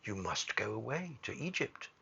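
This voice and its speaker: Noble statue prince, grieving and commanding, low-key and gravelly, dull lo-fi sound, done by a man. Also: very animated, normal speed, sharp tone, exaggerated voice. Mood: sorrowful. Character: sorrowful noble prince statue, male, compassionate.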